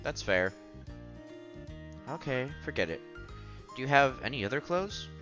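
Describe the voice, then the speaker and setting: A man's voice: mid-range voice, big pitch swings, measured pace, a bit bright, highs cut off. A man streaming a visual novel, reading the text aloud with casual commentary and stumbling over words now and then. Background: game music.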